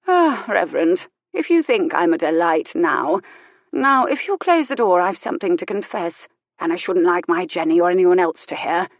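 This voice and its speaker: A woman voicing a sharp-tongued, dying elderly noblewoman with a wry, imperious manner. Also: lowish voice, expressive, normal speed, no echo. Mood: confiding. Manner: tart, teasing, grandly authoritative.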